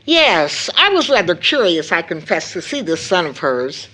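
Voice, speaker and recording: An actress playing a fond, tired, gently chiding mother in an audio drama, with a measured way of talking. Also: fairly low pitch, big pitch swings, heavily acted, low-quality recording. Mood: confiding. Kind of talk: stage-play audio drama acting.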